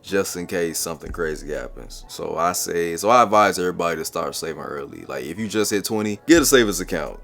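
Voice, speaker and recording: A man in his twenties talking casually to his viewers, giving relaxed, slangy advice and often repeating words while he thinks. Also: deep voice. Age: 20s. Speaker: vlogger. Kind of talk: casual advice talk to camera.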